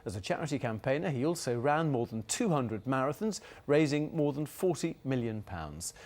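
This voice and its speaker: Male news anchor, mid-pitched formal delivery. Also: expressive, normal speed, a little mellow. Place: TV news studio.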